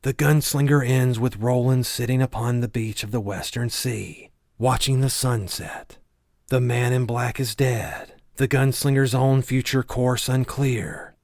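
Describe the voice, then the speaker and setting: Male audiobook narrator, mid-pitched with vocal fry, solemn fantasy storytelling, dry sound. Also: steady tone, unhurried, a little mellow, dry recording. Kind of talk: scripted audiobook narration of a fantasy novel recap.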